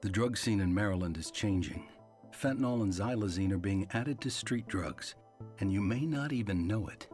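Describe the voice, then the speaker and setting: A man's voice: fairly low pitch, normal speed, darkish tone. A man reading a serious scripted public service announcement for radio. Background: music bed.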